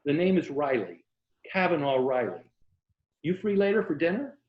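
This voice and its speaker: A man's voice: a little high, sing-song. A man acting out a grandiose middle-aged rogue from a novel, full of florid romantic pronouncements. He draws out his emphasis like a stage orator. The sound is roomy and slightly dull. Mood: sly, lecturing. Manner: florid, declamatory, drawn-out emphasis. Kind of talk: audiobook-like novel reading. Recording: roomy, dull video-call audio.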